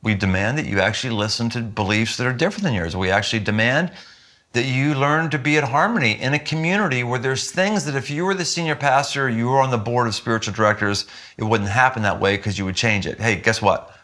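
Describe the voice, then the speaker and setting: Man, 50s, senior pastor, earnest and emphatic, bright tone, sit-down interview, living room. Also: quick pace. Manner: earnest, emphatic, with lively jumps in pitch when stressing points. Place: living room with window, indoors.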